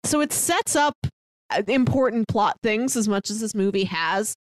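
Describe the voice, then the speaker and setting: A woman's voice: medium pitch, expressive, normal speed, no echo, low-quality recording. A woman co-hosting a podcast, chatting casually about a film with frequent "like" fillers and playful sarcasm. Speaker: podcast co-host. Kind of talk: casual movie discussion.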